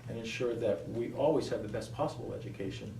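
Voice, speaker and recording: Echoey, mellow-voiced man at a forum. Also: mid-range voice, fast talker, creaky. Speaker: school board applicant. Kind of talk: public forum answer. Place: indoor public forum room. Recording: roomy echo, distant mic, faint noise.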